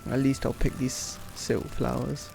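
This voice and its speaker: Woman streamer muttering sweary game reactions, lazy slow pace, creaky voice. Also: a bass voice, flat delivery. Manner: sweary, blunt, muttering asides. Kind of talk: casual gameplay reaction commentary. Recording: loud game music behind.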